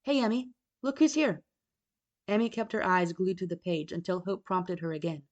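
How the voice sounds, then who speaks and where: A woman's voice: on the low side, normal speed. A woman reading scripted fiction narration, as an audiobook narrator.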